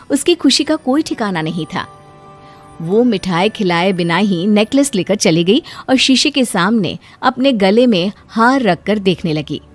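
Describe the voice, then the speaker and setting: A woman narrating a scripted cartoon story as a storyteller. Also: medium pitch.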